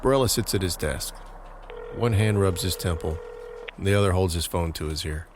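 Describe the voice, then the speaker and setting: A man's voice: deep voice, a little fast, background noise. A man giving scripted narration for an audio drama, describing each scene.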